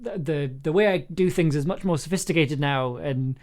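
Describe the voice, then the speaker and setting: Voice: mid-range voice, moderate pace, darkish tone. A creative host talking informally on a stream, with a mid-range voice. The delivery is hesitant, with words repeated and restarted, and laughter comes easily between thoughts. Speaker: audio drama writer and composer, host of livestream. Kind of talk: casual chat on a livestream.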